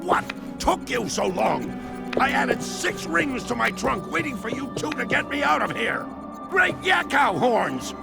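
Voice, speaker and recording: Creaky, cranky old sage. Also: male voice, very high-pitched, very animated, measured pace, full character voice. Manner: fussy, exclamatory, old-fashioned oaths. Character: cranky old male sage, bossy and scolding. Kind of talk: animated video game cutscene acting. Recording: music under the voice. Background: music.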